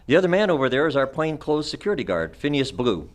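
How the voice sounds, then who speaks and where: A man's voice: mid-range voice, very animated, fast talker, lightly acted. A man acting a formal, self-important doctor in an old-time radio mystery. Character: formal, self-important male doctor, husband of gallery owner. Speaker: amateur radio club performer.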